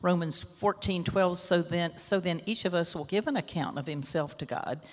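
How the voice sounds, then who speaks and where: A woman with a loud voice sharing a casual church testimony into a microphone, chatty and full of fillers. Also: on the low side, moderate pace. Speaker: church member sharing a reflection. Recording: echoey hall.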